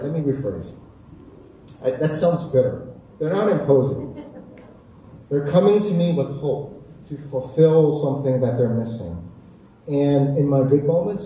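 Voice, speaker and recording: A man with an American accent speaking reflectively on a panel as a chef, earnest and deliberate, repeating phrases for emphasis. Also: medium pitch, mellow. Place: echoey indoor community event space. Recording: echoey hall, distant mic, faint noise.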